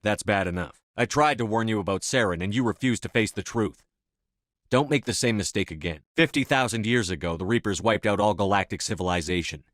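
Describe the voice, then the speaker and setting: A man's voice: on the low side, brisk, a bit bright, no echo, clean studio sound, lightly acted. A male actor voicing a stern, commanding military officer in a science fiction video game, speaking with firm, clipped authority. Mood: grave, insistent. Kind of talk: video game voice acting, dramatic dialogue lines.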